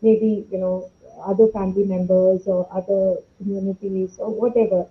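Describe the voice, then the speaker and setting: Through tinny, phone-like call audio, a woman gives her views as an educator on a panel. She is middle-pitched, earnest and reflective. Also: little pitch movement, normal speed. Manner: passionate, reflective. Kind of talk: earnest podcast discussion.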